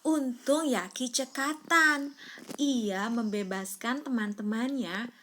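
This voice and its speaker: A woman's voice: on the high side, a little hiss or hum. A woman reading a children's story aloud expressively, drawing out words and prompting a young listener with questions. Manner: animated, prompting, drawn-out words for suspense.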